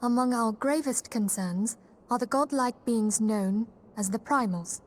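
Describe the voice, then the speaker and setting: A woman's voice: on the high side, measured pace. An actress playing a kindly, earnest young female leader in a fantasy game, speaking with poised, formal sincerity. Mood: earnest, composed. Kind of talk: fantasy video game voice acting.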